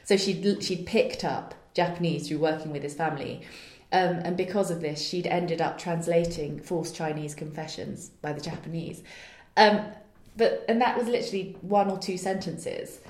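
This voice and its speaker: A woman with a fairly low voice talks through her work as a playwright, earnest and searching for words. Her speech carries a roomy echo. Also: moderate pace, few pauses, darkish tone. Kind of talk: reflective interview answers.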